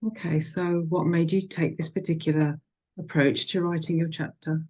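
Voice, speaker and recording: A woman's voice: sits low, fairly even intonation, moderate pace, dark tone, low-quality recording. A woman interviewer who asks short, polite questions and thanks her guest. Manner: brief, polite questions and acknowledgements.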